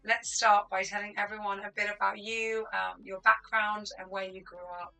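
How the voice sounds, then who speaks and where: A woman's voice: mid-range voice, level tone, normal speed, creaky, pushed and bright, smooth, soft background music, poor audio. A woman from Lebanon hosting an interview, asking friendly questions with fillers. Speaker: host. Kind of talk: conversational interview.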